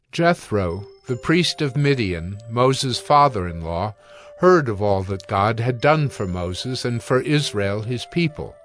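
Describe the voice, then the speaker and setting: A male narrator reads scripture from a script, solemn and measured. His voice sits at a middle pitch, with a music bed behind it.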